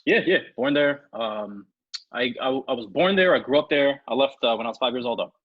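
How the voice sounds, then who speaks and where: Guest guy from ethiopia rattling along fast, kinda husky voice, flat lo-fi sound. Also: mid-range voice, dry recording. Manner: fast, fluent talker with many fillers and restarts. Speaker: podcast guest. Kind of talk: casual interview chat.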